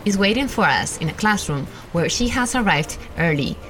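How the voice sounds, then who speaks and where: A woman giving formal, measured scripted narration as documentary host. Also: medium pitch, animated, a bit bright, low-quality recording.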